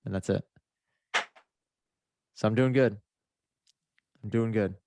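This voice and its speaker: A man's voice: lowish voice, steady tone, slow, dark tone, a little hiss or hum. A man chatting casually in a podcast, rambling and laid-back with lots of fillers, his nose a little stuffed up. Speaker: motocross racer.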